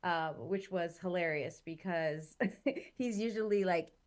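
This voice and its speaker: A woman's voice: lowish voice, a little slow. A woman born in Chile and raised in Southern California, chatting animatedly as an interview guest, with many fillers. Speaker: guest actress. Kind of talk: casual interview chat.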